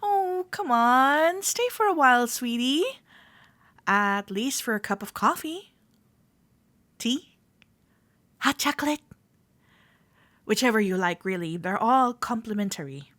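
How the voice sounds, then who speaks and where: A woman playing a friendly, playful club member in a roleplay audio, gently reassuring a shy newcomer as she speaks directly to the listener. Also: a little high, very animated, takes it slowly, pauses often, dry recording, heavily acted. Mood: reassuring, coaxing. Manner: gentle, reassuring, playful, hesitant soft-spoken chattiness.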